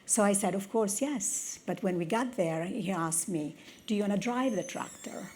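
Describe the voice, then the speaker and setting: Woman, Italian accent, conference keynote speaker, earnest storytelling, medium pitch. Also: moderate pace, a little mellow. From Italy. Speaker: conference speaker, tech executive. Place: conference hall with audience.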